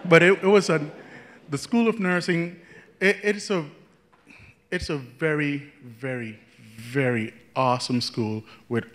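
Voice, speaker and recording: A man's voice: on the high side, a little slow, fairly bright tone. A young man with a Jamaican accent, a nursing student telling a personal story to a classroom audience on a handheld mic, chatty and laughing often. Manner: chatty, laughs often, repeats words when starting a thought. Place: large classroom with a seated audience.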